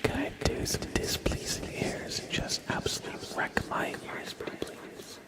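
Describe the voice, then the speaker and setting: A man doing a whispered ASMR livestream, chatting to viewers in a breathy, playful, teasing way with dry humor. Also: measured pace. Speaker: ASMR live streamer.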